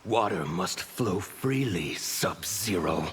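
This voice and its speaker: An actor playing a bitter, menacing revolutionary of chaos in a video game, declaiming defiantly in a gravelly growl. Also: male voice, sits low, expressive, takes it slowly, crowd noise. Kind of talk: video game fight banter.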